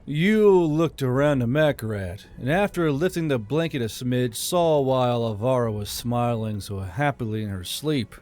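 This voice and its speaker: A man's voice: medium pitch, lively intonation, normal speed, few pauses, darkish tone. A man reading scripted fantasy fiction as an audiobook narrator. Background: faint music.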